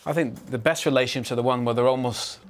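A man pundit on a football studio panel speaks quickly in a mid-range voice. He poses probing, roundabout questions to the guest. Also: mid-range voice. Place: large TV studio.

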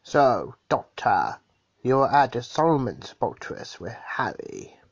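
A man voicing an inquisitive, wry male guest in a fan audio drama, asking slow, probing questions, on a dull, dry recording. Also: mid-range voice, very animated, slightly rough, dry recording, full character voice.

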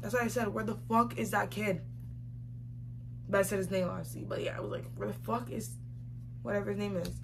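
A young woman tells a casual story to camera in a small room. She is emphatic and slangy, hammering phrases by repeating them. Her voice sits at a middle pitch, over faint recording noise. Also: fairly even intonation, a little fast, pauses often. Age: young adult. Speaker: vlogger. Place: small room indoors, seated facing camera. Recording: clearly noisy home recording.

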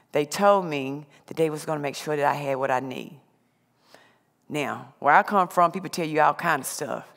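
A woman's voice: low-pitched, vocal fry. A middle-aged woman giving a plainspoken, conversational personal testimony on a theater stage through a headset microphone. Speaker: speaker sharing personal testimony. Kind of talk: personal testimony to a live audience. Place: large theater stage, indoors. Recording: hall reverb from stage sound.

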